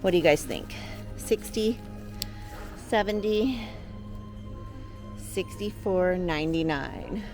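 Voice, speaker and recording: A woman's voice: fairly low pitch, expressive, takes it slowly, pauses often, music in the background. A woman chatting casually and enthusiastically to viewers as she co-hosts a shopping vlog. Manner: gushing, enthusiastic. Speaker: vlog co-host.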